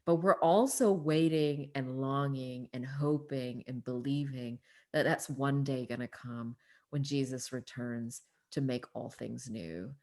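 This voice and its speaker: A woman's voice: very deep voice, unhurried, warm tone. A woman guest in a casual, reflective Bible discussion, thinking aloud in a candid, thoughtful way.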